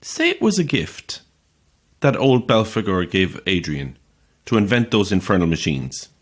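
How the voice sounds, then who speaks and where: A man's voice: lowish voice, very animated, unhurried. A man playing a sceptical, grumbling holidaymaker in a naturalistic audio horror drama, with a dry, terse, questioning manner. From Ireland.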